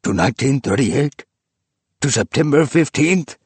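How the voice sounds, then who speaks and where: A man voicing a feverish, imperious old book collector who talks with fierce, rapturous command, raised higher and louder than his narrating voice. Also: expressive, unhurried, slightly rough, no room sound. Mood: urgent.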